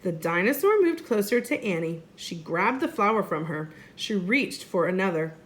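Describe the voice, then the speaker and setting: A woman, a first grade teacher, reading a storybook aloud expressively and deliberately for young children. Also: mid-range voice, sing-song.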